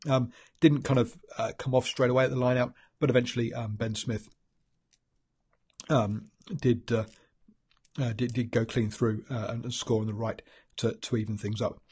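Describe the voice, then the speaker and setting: A man's voice: mid-range voice, level tone, normal speed, a little mellow, husky. A man with a New Zealand accent and a stuffy head cold, giving a rambling solo rugby match analysis full of ums and restarts. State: congested from a cold. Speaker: rugby pundit host.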